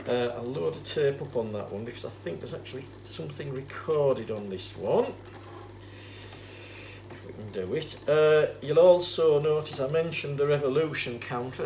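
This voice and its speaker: Man explaining gear over background noise. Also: mid-range voice. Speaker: presenter demonstrating vintage tape recorders. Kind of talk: informal unscripted demonstration, explaining equipment. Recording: clear steady background noise.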